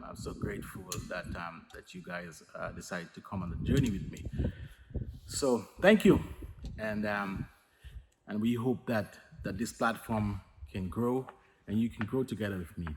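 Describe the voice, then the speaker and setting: A middle-aged man from Dominica, telling his childhood story in a relaxed, rambling vlog full of fillers and repeated words. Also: on the low side. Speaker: vlogger. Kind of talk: casual personal storytelling. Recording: faint noise with long reverb.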